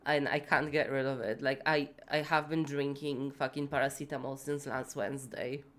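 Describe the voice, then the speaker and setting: A woman's voice: very deep voice, level tone, normal speed, slight background noise. A woman streaming live while building in a city game, congested and feverish, rambling with lots of fillers and casual swearing. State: sick with fever, congested, voice going.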